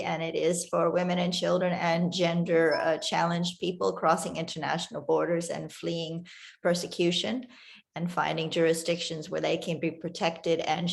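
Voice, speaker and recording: A woman's voice: lowish voice, creaky. Woman accepting an award on a video call, bit nervous, earnest, voice kinda going. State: losing her voice. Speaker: award recipient, legal advocate.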